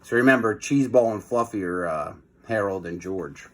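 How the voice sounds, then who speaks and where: A man hosting a children's read-aloud, moving between expressive storybook reading and informal, hesitant asides. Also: on the low side, moderate pace.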